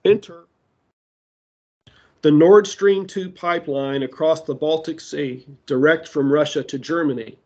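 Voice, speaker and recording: Slow, deliberate man preaching, voice strained. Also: fairly high pitch, a little mellow, muffled recording. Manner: slow, deliberate delivery with asides. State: losing his voice. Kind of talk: sermon mixing prophecy and current events.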